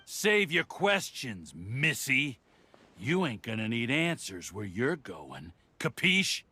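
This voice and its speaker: A man's voice: medium pitch, sing-song, slow pace, background noise. An actor playing a threatening, sneering gangster henchman with slangy tough-guy talk in a video game. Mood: sneering threat. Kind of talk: English video game voice acting.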